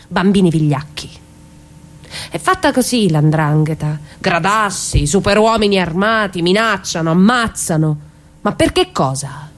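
A woman playing a brave, defiant mother on the run from the mafia in a theatrical radio drama. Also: on the low side.

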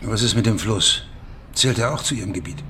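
Actor as quick, curt male traveller. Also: on the low side, background noise, lightly acted. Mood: curt, questioning. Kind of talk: radio play dialogue.

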